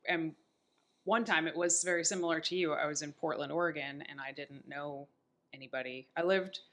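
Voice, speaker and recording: A woman's voice: sits low, fairly quick, a bit bright. A woman in her thirties hosting a podcast, talking in a chatty, upbeat way and telling stories. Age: 30s. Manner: chatty and upbeat, tells stories with quoted asides. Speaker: podcast host. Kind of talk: casual chat.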